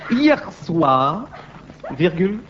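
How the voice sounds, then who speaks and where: A man's voice: on the high side, big pitch swings, very slow, a bit bright. A man delivering a comic radio column, joking and mock-formal, with banter. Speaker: radio humorist, TV reviewer. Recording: dull sound, noisy audience. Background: crowd and noise.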